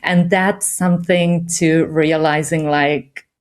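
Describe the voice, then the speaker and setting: A woman's voice: low-pitched, fairly even intonation, takes it slowly. Finnish-accented woman, reflective and candid. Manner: reflective, candid, frequent light laughs. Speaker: meditation guide. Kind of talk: conversational interview.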